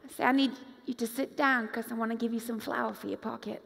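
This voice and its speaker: High-voiced woman preaching on a stage. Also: quick pace, gravelly. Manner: animated preacher, acts out quoted voices, moves around the stage. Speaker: pastor. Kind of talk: conference sermon. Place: large dark stage with hall reverb. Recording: long hall reverb.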